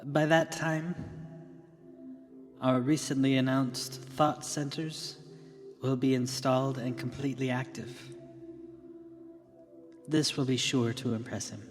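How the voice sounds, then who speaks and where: A man playing a confident visionary company founder in a radio drama, delivering a grandiose keynote with deliberate dramatic pauses. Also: mid-range voice, flat delivery, natural voice. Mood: grand, visionary. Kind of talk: theatrical keynote address. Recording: long hall reverb with music behind.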